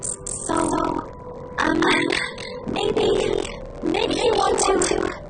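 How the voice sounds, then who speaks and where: An actress voicing an excitable, easily flustered young woman in a visual novel game, stammering and earnest. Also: a bass voice, gravelly, heavy background noise, exaggerated voice. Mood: hesitant, pleading. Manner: stammering, pleading, quick to protest. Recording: loud music under the voice.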